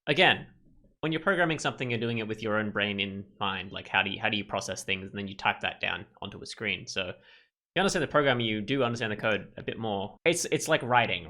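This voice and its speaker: Male let's play host, lowish and bright, rambling casual commentary. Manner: rambling, thinks aloud.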